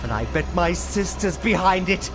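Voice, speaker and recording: Whiny dramatic elf lord for a game, really high pitched, theatrical, music behind. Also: male voice, lively intonation, moderate pace. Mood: indignant. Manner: florid, theatrical lamenting. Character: petulant, melodramatic young elf lord, self-pitying schemer. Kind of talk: video game cutscene acting.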